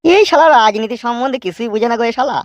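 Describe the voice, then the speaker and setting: Woman doing a cranky old tea seller from a comedy cartoon, coarse and naggy, talks really fast. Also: a little high, no room sound, natural voice. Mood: irate, mocking. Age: older. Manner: scolding, repetitive, coarse. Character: irritable older tea-stall keeper, scolding political veteran. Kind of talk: comic cartoon dialogue in rural dialect.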